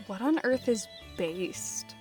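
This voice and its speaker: A woman's voice: fairly high pitch, animated, takes it slowly, soft background music. A woman playing a blunt, casual human companion in an audio roleplay. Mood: dry, casual.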